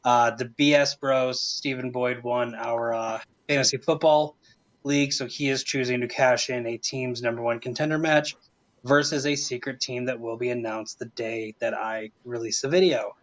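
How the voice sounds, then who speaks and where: A man with an average-pitched voice gives casual updates on a panel show. His delivery is dry and understated, and the sound is a bit dull and narrow. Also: fairly even intonation, moderate pace. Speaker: podcast panelist. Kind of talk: casual plugs of upcoming matches.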